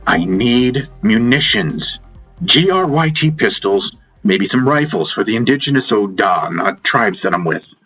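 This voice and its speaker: A man's voice: fairly low pitch, big pitch swings, a bit bright, slightly rough, full character voice. A man voicing an insistent, commanding stranded army major bargaining urgently in an audiobook. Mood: pleading, excited. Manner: insistent, emphatic, halting when pleading. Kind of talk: dramatic audiobook dialogue. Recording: music behind the voice.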